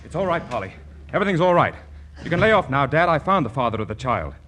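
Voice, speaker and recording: An actor playing an earnest, flustered young man, excitable and pleading, in 1940s radio romantic comedy. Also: a little high, fast talker, slight background noise. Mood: urgent. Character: earnest, flustered young suitor and exasperated son.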